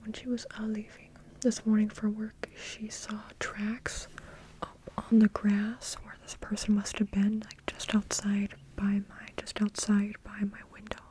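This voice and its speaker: A woman's voice: medium pitch, completely flat delivery, a little slow, dark tone, slight background noise, poor audio. A young woman whispering close to the mic in a casual ASMR chat, repeating words over and over as trigger sounds. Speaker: ASMR creator. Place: her apartment. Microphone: close sensitive mic.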